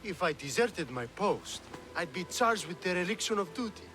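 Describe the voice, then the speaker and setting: An actor playing a nervous, rambling guard who babbles excuses in a video game. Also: male voice, high-pitched, animated, moderate pace, a bit bright, faint music behind. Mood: sheepish. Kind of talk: comic video game voice acting.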